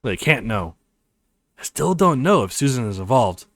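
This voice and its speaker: A man's voice: medium pitch, big pitch swings, moderate pace, no echo, clean studio sound, voice pushed down. Man acting an earnest young college guy. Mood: worried. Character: earnest, worried young male college student.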